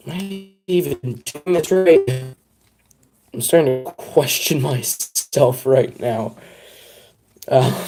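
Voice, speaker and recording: Mellow-voiced young man rambling on a stream. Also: medium pitch. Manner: rambling, self-deprecating, chats to viewers. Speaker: live streamer. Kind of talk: casual live stream chat.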